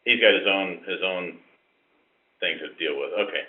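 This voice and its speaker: A man's voice: low-pitched, moderate pace. A man with an American accent asking questions in an inquisitive, informal way during a casual phone conversation. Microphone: telephone. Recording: tinny recorded phone call.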